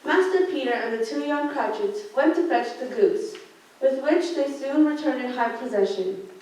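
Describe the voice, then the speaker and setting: A woman's voice: high-pitched, animated, moderate pace, a little mellow. A young woman in her teens reading scripted storybook narration for a student radio play. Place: large school theater classroom, indoors. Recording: echoey room, distant mic, background noise.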